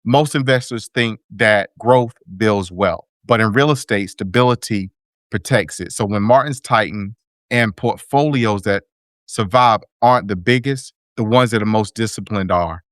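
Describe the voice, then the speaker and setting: Energetic man hosting radio. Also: fairly low pitch, measured pace, no echo, studio quality. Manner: energetic, hype, folksy shout-outs. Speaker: radio show host. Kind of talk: upbeat radio show hosting.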